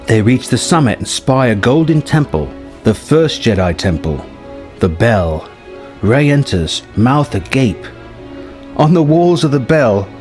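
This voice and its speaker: A man narrates a scripted adventure story in a medium-pitched voice. He reads as a storyteller, and music plays behind him. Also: darkish tone. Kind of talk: scripted story narration, reading a film treatment. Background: background music.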